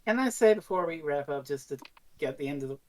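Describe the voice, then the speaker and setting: Female podcast co-host, deep register. Casual and earnest, reflective, the odd swear word. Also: lively intonation, fast, warm tone.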